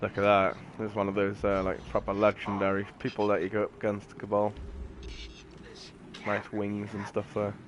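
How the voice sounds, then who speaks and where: A man's voice: on the low side, monotone, normal speed, dull audio, a little hiss or hum. A man giving casual gameplay commentary in a rambling, matter-of-fact, slangy way. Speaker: game streamer. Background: game music and sound effects.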